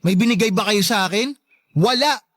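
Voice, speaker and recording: A man's voice: high-pitched, moderate pace, fairly bright tone, no room sound, studio quality. A young man playing a resentful, neglected grown son in a radio drama, plaintive and reproachful but respectful. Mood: hurt, reproachful. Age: young adult. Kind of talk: emotional radio drama acting.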